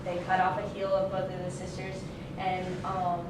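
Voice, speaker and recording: A woman's voice: lowish voice, completely flat delivery, normal speed. A young adult woman giving an informal student speech in a classroom, casual and loosely worded, correcting herself. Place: indoor classroom. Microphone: distant camera microphone. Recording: very echoey, noisy room, distant mic.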